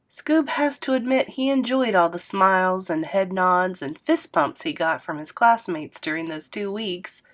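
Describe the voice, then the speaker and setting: A woman reading a middle-grade novel aloud, narrating with expressive lifts for emphasis. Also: mid-range voice. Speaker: read-aloud host.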